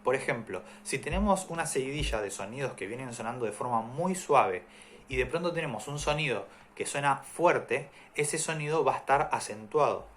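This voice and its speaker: Calm man explaining music theory. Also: mid-range voice, moderate pace, slight background noise. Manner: calm, methodical explaining with examples. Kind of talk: explanatory video lesson.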